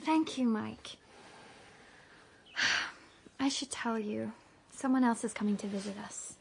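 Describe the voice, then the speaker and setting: An actress playing a gentle, affectionate young wife with a soft, coaxing manner in a radio drama. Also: female voice, a little high, takes it slowly, creaky, slight background noise. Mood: tender, uneasy.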